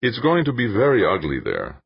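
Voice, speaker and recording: A man voicing a smug, menacing male captor who speaks slowly and condescendingly. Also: lowish voice, huge pitch swings, creaky, slightly rough, dry recording, muffled recording, pitched above the performer's own voice, heavily acted.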